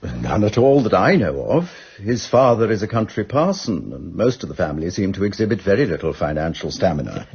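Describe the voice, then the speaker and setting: An urbane English solicitor in a period radio play. The voice is low and husky with an educated English accent, measured and obliging but guarded. The sound is a little dull and muffled. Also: male voice, big pitch swings, heavily acted. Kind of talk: radio drama acting.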